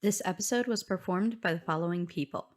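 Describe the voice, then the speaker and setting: A woman's voice: fairly low pitch, brisk. A woman reading scripted closing credits as a podcast announcer. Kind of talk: scripted narration. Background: faint music.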